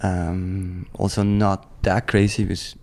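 A man's voice: low voice, slow pace. A man in his thirties from the German-speaking part of Switzerland, chatting loosely as an interview guest with many restarts. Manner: relaxed, rambling, many restarts and "like". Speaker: guest, professional snowboarder. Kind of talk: casual interview chat.

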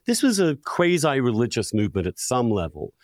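Male podcast host, middle-aged, emphatic and opinionated, very lively intonation, close dry recording. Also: mid-range voice, a little fast, dry recording. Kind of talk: conversational podcast discussion.

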